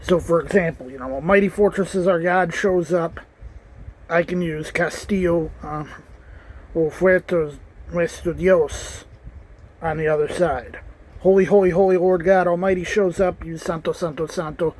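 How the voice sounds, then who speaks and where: Male vlogger, high-pitched, earnest and rambling, casual to-camera chat. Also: steady tone, moderate pace, darkish tone, rough recording. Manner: earnest, rambling, with restarts and asides.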